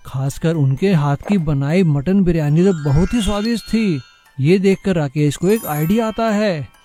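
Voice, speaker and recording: A man's voice: high-pitched, mellow. A man reading scripted narration for a moral story. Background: music.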